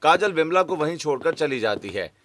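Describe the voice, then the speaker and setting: A man's voice: on the high side, big pitch swings, brisk, a bit bright. A man narrating a scripted cartoon story as its storyteller. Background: background music.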